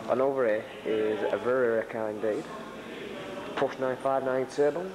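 A man's voice: mid-range voice, steady tone, moderate pace, crowd noise, low-quality recording. A young man from the United Kingdom giving a casual, chatty commentary while filming a tour of a car workshop on a camcorder. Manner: chatty, pointing things out with dry humour. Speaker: visitor filming a factory tour. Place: large indoor car workshop. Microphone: handheld camcorder mic. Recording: loud workshop noise behind. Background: workshop and engine noise.

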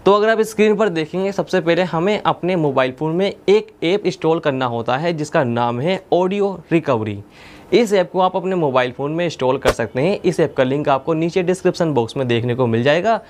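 Higher-pitched young man giving a tutorial. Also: lively intonation, brisk, slight background noise. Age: young adult. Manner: instructional, repeats points methodically. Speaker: tech tutorial presenter. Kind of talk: step-by-step tutorial narration. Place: indoor studio with plain blue backdrop.

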